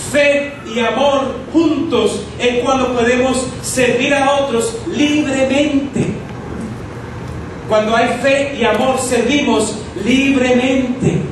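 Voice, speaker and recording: A man in preaching a sermon to a congregation, teaching through rhetorical questions. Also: extremely high pitch. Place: church hall with congregation. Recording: echoey hall, distant mic, noisy.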